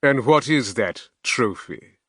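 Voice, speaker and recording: A brash, theatrical male time-traveller who declaims in a raised, lively voice, as a man reads him out in an audiobook. He speaks with pushy insistence, and his pitch swings widely. The sound is close and dry. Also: slow pace, breathy edge, dry recording, heavily acted. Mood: pressing. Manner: emphatic, declaiming, higher and louder than the narration. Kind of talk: audiobook character voice.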